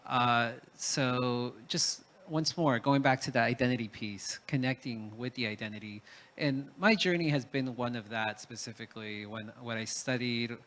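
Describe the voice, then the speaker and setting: A man's voice: mid-range voice, moderate pace, fairly bright tone. A man from Peru speaking as a panelist in a reflective talk, hesitant, with many fillers and restarts.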